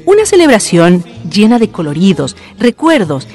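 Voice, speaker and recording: A woman's voice: mid-range voice, huge pitch swings, normal speed, a little mellow, soft background music. A woman with a Chilean accent reading a scripted narration for a radio documentary drama.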